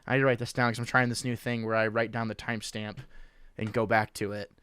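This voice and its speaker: A man's voice: fairly low pitch, flat delivery, quick pace, a bit bright, clean tone, dry recording, studio quality. A young man hosting a casual podcast, talking loosely and joking with his co-hosts, often restarting his sentences. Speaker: podcast host.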